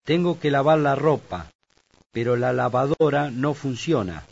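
Man, mid pitch, course-tape narrator, muffled lo-fi sound. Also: animated, a little mellow. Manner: slow, clear read-aloud delivery. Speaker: language course speaker. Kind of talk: scripted language lesson reading. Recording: dull lo-fi tape audio.

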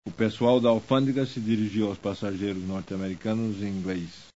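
A man reading language course drills in slow, deliberate, evenly paced sentences. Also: fairly low pitch, steady tone, warm tone. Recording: dull lo-fi course tape.

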